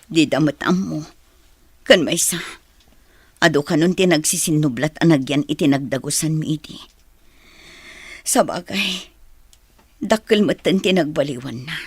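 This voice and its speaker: A woman's voice: low-pitched, a little slow, pauses often, breathy edge, dry recording, exaggerated voice. A woman with a Filipino accent playing a plaintive, sorrowful mother in a radio drama, longing for her child. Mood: regretful.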